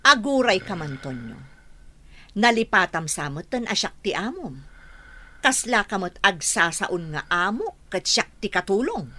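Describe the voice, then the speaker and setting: Husky, emotional mistress of the house. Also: female voice, mid-range voice, very animated, unhurried, sharp tone, full character voice. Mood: indignant. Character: proud adult daughter and mother, mistress of mansion. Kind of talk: radio drama acting.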